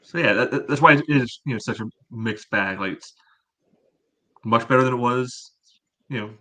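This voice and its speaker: A man's voice: medium pitch, moderate pace, bright, forceful tone. A man co-hosting a casual soccer podcast, giving opinionated, analytical takes in a conversational way. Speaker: podcast co-host.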